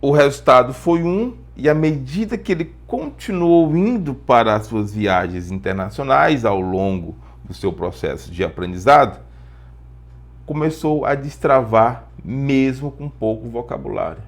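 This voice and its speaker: A man's voice: mid-range voice, expressive, a little slow. A man with a Brazilian accent giving an informal lesson as an English teacher, explaining through personal stories, repeating phrases for emphasis and posing rhetorical questions.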